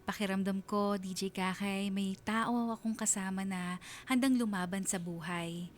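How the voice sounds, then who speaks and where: An actress playing a sincere mother who tells her love story in measured, heartfelt narration for a radio drama. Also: medium pitch, steady tone, slight background noise, natural voice. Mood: reflective, troubled.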